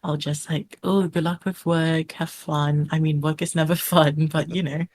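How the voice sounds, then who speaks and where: A woman streamer from New Zealand with a New Zealand accent touched by Singaporean speech, chatting as an interview guest in a relaxed way full of "like". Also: low-pitched, moderate pace. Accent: New Zealand with Singaporean traces. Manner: relaxed, uses "like" often. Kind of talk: casual interview chat.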